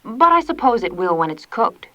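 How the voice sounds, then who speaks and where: A woman's voice: medium pitch, big pitch swings, moderate pace, bright, forceful tone, dull audio. A woman voicing a bossy, capable farm girl in an old-fashioned rural storybook, speaking with rustic, commanding phrasing. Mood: fretful.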